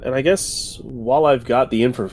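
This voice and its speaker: A man talking casually as a player at a tabletop game, measured and analytical, with some background noise. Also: mid-range voice, darkish tone.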